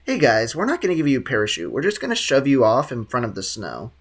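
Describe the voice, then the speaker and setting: A man's voice: medium pitch, wildly swooping pitch, quick pace. A man live-streaming a game, chatting casually and cracking irreverent, slangy jokes as he reacts to it and to viewers. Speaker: game livestreamer. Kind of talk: casual chat over gameplay, reacting and answering viewers.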